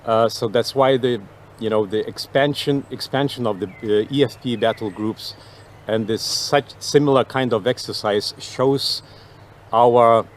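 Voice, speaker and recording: A man with a non-native English accent explaining things in an interview as a military officer, halting and repeating words. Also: medium pitch, a little hiss or hum. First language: not English.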